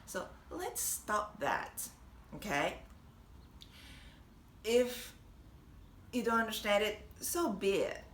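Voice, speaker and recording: A young woman with a Japanese accent, chatting warmly and encouragingly to camera, laughing often. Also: mid-range voice, big pitch swings, slow, pauses often. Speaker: vlogger and language teacher. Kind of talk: casual encouraging talk to camera. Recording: echoey room with faint noise.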